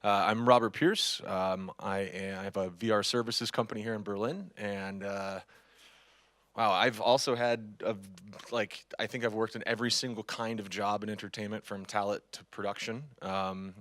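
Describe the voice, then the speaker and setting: A man's voice: on the low side, fairly even intonation, normal speed, a bit bright, no echo. A man with a Californian accent, earnestly introducing himself on a panel. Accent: American, Californian. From Los Angeles. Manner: earnest, thoughtful. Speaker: panelist, VR company owner.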